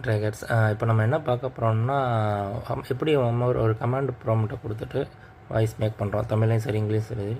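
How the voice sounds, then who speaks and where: A man's voice: fairly low pitch, fairly even intonation, fairly quick, gravelly, darkish tone. A man with a Tamil accent hosting a casual tech tutorial, explaining step by step and repeating himself. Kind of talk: casual screen tutorial narration. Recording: faint background noise.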